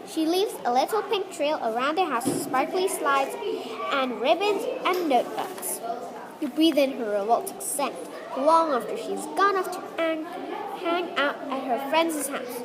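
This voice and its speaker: A woman's voice: high-pitched, expressive, a little slow. A woman reading a children's story aloud. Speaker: book reader at a read-aloud event. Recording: busy crowd noise behind the voice.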